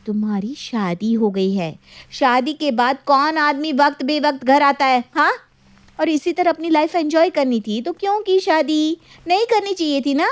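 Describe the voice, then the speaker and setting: A woman's voice: high voice, normal speed, no room sound, pitched above the performer's own voice, lightly acted. A woman voicing a sensible, independent young wife who talks in a firm, scolding, assertive way in a story. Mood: exasperated, scolding.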